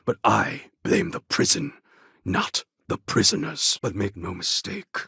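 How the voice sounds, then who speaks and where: Casting: proud, brooding game warlord, very husky, slow and deliberate, speaking like a solemn orator. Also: male voice, lowish voice, sing-song, bright, forceful tone, no room sound, clean studio sound, heavily acted. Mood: grave, measured. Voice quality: husky, gravelly. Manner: grave, deliberate, formal oratorical phrasing. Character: proud, brooding male warlord clone, fierce rebel leader. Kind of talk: video game character acting.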